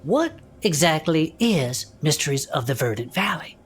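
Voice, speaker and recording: A woman's voice: low voice, big pitch swings, moderate pace, slightly rough, exaggerated voice. A woman playing a folksy retired adventurer gnome who co-hosts a podcast in an audio drama, with an exclamatory manner. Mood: folksy, curious.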